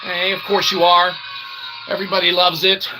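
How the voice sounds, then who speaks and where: A man's voice: sits high, completely flat delivery, moderate pace, over music, poor audio. A man hosting a rambling comedic livestream monologue like a mock-grand showman, often tagging phrases with "okay". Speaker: show host.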